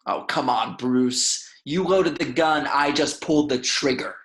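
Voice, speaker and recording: Man playing a slow, sardonic villain mastermind. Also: medium pitch, a bit bright, lightly acted. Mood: taunting. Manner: measured, sardonic, taunting. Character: bitter, mocking male supervillain mastermind, chillingly calm. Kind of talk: film script table read.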